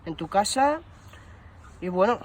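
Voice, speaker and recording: Man posing as bumbling shop employee, high voice, slow delivery, hesitant, rambling, slangy, prank phone call. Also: lively intonation, slight background noise. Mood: chatty, hesitant. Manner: hesitant, rambling, full of stammered fillers and slang. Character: bumbling shoe shop promotions employee announcing a prize. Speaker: prank caller.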